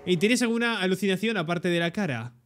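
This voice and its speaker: Young guy, spain spanish accent, high voice, excitable reaction commentary, bit of noise behind. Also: brisk. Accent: Peninsular Spanish. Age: young adult. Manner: excitable, interjecting. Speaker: reaction video host. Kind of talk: reaction commentary, translating aloud. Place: indoor room, webcam reaction setup. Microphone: desktop streaming microphone.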